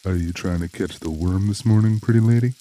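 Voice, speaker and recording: A man playing a calm, protective tough guy with a tender side, speaking steadily in an audiobook drama. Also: low-pitched, fairly quick, a little hiss or hum, natural voice.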